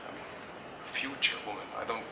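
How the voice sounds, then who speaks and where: A middle-aged man from Germany answers interview questions thoughtfully, hesitating and restarting often. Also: a little fast. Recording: echoey, distant mic, noisy background.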